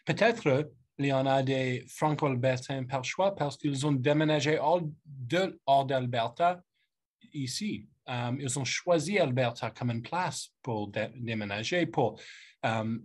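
A man's voice: mid-range voice, a little slow. Hesitant anglophone man speaking learner French. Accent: English-speaking Canadian. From Canada. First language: English. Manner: hesitant, searching for words in a second language. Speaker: politician hosting a youth panel. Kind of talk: formal welcome speech on a video call. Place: office with lamp and bookshelf, indoors. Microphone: webcam on a video call.

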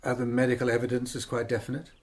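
An actor with an English accent playing a loyal, sceptical gentleman doctor in a radio drama, thoughtful and a little grumbling. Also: medium pitch, monotone, very fast, natural voice. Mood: mildly exasperated. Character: loyal older gentleman doctor, sceptical, plain-spoken companion. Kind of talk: BBC radio drama acting.

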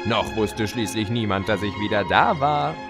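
Guy doing a smug playful chaos monster telling his story, really lively pitch, music behind. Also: moderate pace. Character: gleeful scheming male chaos creature narrating his escape. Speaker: narrator and character in fan audio drama. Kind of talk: theatrical first-person audio drama narration.

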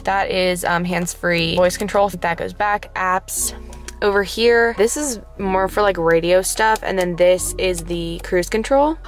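A woman's voice: fairly low pitch, measured pace, faint music behind. A young woman giving a casual, bubbly tour of her car, filming with a handheld phone while sitting in the parked car. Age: young adult. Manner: chatty, bubbly, giggly. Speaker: vlogger. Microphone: handheld phone camera.

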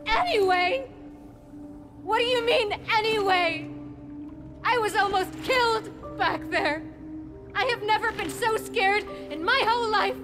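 A woman's voice: mid-range voice, measured pace, long pauses, natural voice. Woman acting emotional, needy young woman over music. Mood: hurt, angry. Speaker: video game character. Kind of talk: dramatic cutscene acting.

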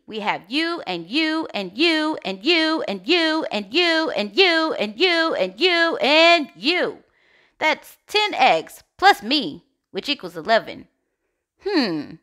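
A woman plays an anxious, bossy egg from a storybook. The voice is high and bright, with big dramatic swings as it frets and gives orders. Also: big pitch swings, slow pace, full character voice. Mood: counting, alarmed. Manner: dramatic, exclaiming, fretful. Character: Shell, anxious bossy egg rallying missing friends. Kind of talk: storybook character read-aloud.

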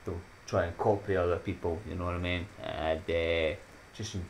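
A deep-voiced Scottish man in his thirties talks into a desk mic in a small room at home. He rambles in a loose, upbeat way, laughs at his own jokes and slips in motivational sayings. A faint hiss sits under the voice. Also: steady tone, moderate pace, poor audio. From Scotland. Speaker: YouTuber. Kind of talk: casual chatty vlog outro.

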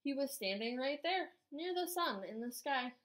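High, animated woman reading a storybook. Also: moderate pace. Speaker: art teacher. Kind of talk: children's storybook read-aloud.

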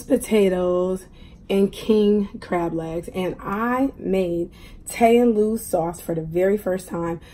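A middle-aged woman with a hoarse voice, chatting casually to viewers as a mukbang host at a table. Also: lowish voice, mellow. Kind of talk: casual eating vlog chat. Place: indoors at a table at home. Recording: clearly noisy room recording.

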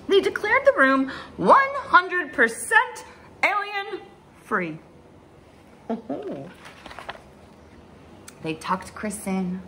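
Animated young woman reading a storybook. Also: fairly high pitch, a little hiss or hum. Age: young adult. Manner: dramatic, with drawn-out words and hushed asides. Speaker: story time host reading a picture book aloud. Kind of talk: expressive children's storybook read-aloud. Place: small bright room indoors at home.

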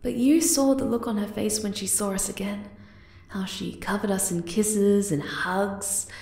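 A woman voicing a shy, flustered young moth-girl lover in an ASMR roleplay, hesitant, tender and soft-spoken. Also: medium pitch, steady tone, measured pace, gravelly, echoey room. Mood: gentle, comforting.

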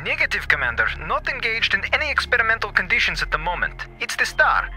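Clipped, clinical station doctor, fast and animated, formal lecturing manner, audio drama. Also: male voice, high voice, faint music behind, heavily acted. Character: clinical, pedantic male space station scientist.

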